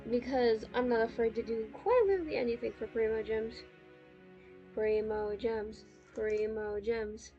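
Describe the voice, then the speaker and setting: A woman's voice: on the high side, measured pace, lo-fi sound. A woman livestreamer talking casually to her chat while playing a game, chatty and informal. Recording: game music behind the voice. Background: video game music.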